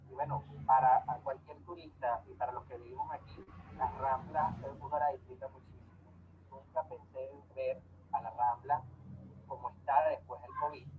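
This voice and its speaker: A man from Venezuela, giving a measured online lecture with frequent hesitations. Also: mid-range voice. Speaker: lecturer on tax law. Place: home, remote online talk. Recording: tinny phone-like audio, faint noise.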